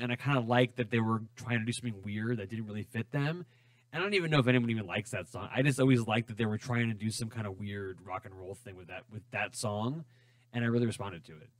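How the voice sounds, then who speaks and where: A man's voice: medium pitch, dry recording. A man who plays in a band, a guest on a radio show, talking loosely with lots of fillers. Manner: quick, relaxed, filler-heavy talk. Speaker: musician guest. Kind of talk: casual radio interview. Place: radio studio.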